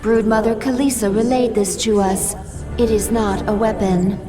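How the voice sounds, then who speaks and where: A woman voicing a loyal alien adviser in a video game, calm and formal, giving measured reports. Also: medium pitch, over music, lightly acted. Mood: grave, reporting. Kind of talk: video game cutscene acting.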